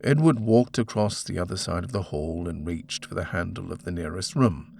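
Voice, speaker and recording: Low, gravelly man reading a scary story. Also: normal speed, a little mellow. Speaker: audiobook reader. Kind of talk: scripted horror story narration.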